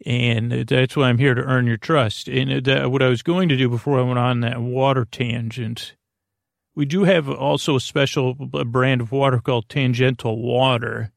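A man with a gravelly, creaky voice, recorded close and dry. He rambles as a podcast host in a whimsical monologue. His delivery is halting, with repeated words and drifting tangents. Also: mid-range voice, steady tone, no room sound.

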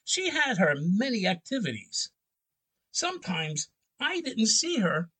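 A male actor plays a nervous, well-mannered husband in a 1940s-style radio drama. His husky voice jumps up and down in pitch. He answers haltingly, courteous but defensive. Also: very animated, pushed and bright, full character voice. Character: flustered, hesitant, well-mannered husband under questioning.

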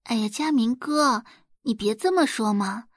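A woman voicing a falsely innocent teenage schoolgirl in an audio drama, soft, gentle and coyly pleading. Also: fairly high pitch, unhurried, no room sound, clean studio sound. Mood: gently pleading. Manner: sighing, coy, pleading.

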